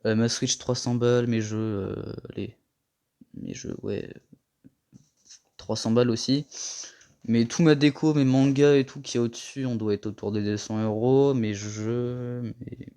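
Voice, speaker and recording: A young man giving a casual tour of his gaming setup, rambling and slangy, a little hoarse from a cold. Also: medium pitch, steady tone, a little slow, mellow. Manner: rambling, slangy and self-mocking. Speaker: gaming vlogger.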